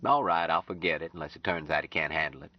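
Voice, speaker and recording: A man reading an audiobook, voicing an earnest young woman who gently insists and reassures. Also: extremely low pitch, quick pace. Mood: reassuring. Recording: slightly dull lo-fi audio.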